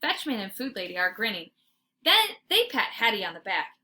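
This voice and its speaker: A woman's voice: medium pitch, very animated, normal speed, sharp tone. A woman reading aloud as a teacher would, narrating a children's story expressively with dramatic emphasis.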